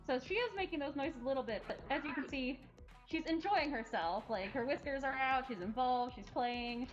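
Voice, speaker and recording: Very high-voiced woman explaining casually. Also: moderate pace. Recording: dull lo-fi livestream audio. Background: faint music.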